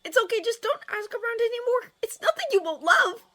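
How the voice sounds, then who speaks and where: A woman voicing a nervous, flustered teenage boy with a squeaky, stammering delivery in a story reading. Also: expressive, brisk, sharp tone, full character voice. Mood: anxious. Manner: stammering, spluttering.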